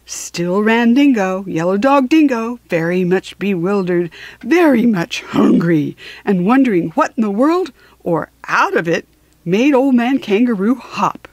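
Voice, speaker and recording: A woman's voice: medium pitch, lively intonation, measured pace, darkish tone, no room sound. A woman narrating a children's storybook expressively, with dramatic, rhythmic emphasis.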